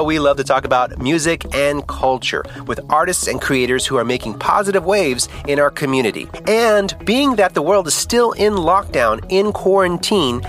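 A man hosting a podcast interview, greeting listeners and guiding the talk with upbeat enthusiasm. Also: a little high. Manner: upbeat, enthusiastic host patter. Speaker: podcast host.

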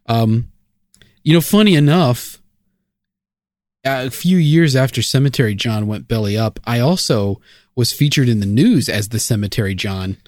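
Animated male podcast co-host, giggly, spinning silly invented stories, recorded close and dry. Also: mid-range voice, moderate pace, no echo. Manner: giggly, spins absurd made-up stories. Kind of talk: improvised comedy podcast banter.

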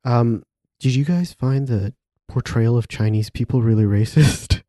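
A man's voice: medium pitch, measured pace, mellow, no echo, studio quality. A man co-hosting a casual chat, making brief, skeptical remarks. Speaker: podcast co-host.

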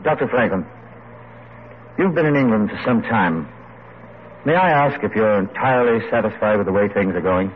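An actor playing a poor, proud, idealistic pamphleteer, wry and earnest, in an old radio drama. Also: male voice, fairly low pitch, expressive, normal speed, pauses often, phone-quality sound, natural voice. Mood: polite, earnest. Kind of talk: 1940s-50s radio drama acting. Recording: thin, noisy old radio recording.

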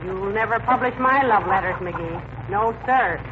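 A woman's voice: mid-range voice, unhurried, lightly acted. A woman acting a patient but firm, teasing wife in a 1940s radio comedy. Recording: noisy, muffled old radio recording.

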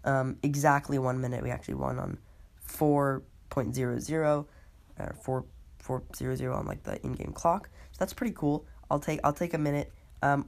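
Brief: woman podcast host under the weather, low voice, laid-back and filler-heavy play-by-play. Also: vocal fry, darkish tone. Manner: laid-back, many ums and uhs, talks through live play. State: sick, voice a bit off. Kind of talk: casual gaming podcast commentary.

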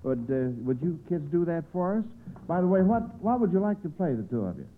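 A man's voice: on the high side, big pitch swings, fairly quick. A man with an American accent hosting a 1940s radio quiz show in a jovial, folksy, bumbling way. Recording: scratchy old radio broadcast, narrow phone-like sound.

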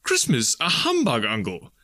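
A cheerful young gentleman from a storybook, voiced by a male reader, pitched high and swooping. He is warm-hearted and earnest, gaily pleading his case. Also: big pitch swings, normal speed, no echo.

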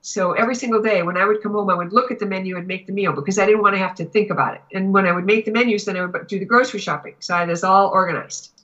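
A woman chatting casually as a talk show guest and teacher, telling anecdotes in an animated way. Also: mid-range voice, very fast, few pauses, highs cut off.

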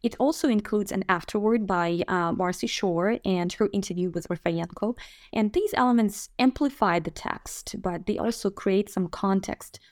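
Casting: woman host, mid-pitched, calm and measured, dry close sound. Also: no echo. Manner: attentive, frequent mm-hmms, measured questions. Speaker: interviewer. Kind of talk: podcast interview.

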